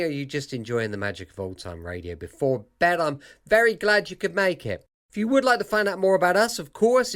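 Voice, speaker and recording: A British man introduces his late-night podcast in a chatty, welcoming way. His voice sits a little high and moves around a lot in pitch, with a playful, informal touch. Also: fairly bright tone. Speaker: podcast host.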